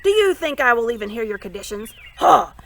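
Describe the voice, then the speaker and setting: A woman's voice: on the high side, big pitch swings, a little fast, pitched above the performer's own voice. Woman acting furious, ranting captive young prince. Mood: spluttering fury. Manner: spluttering, ranting, theatrically defiant.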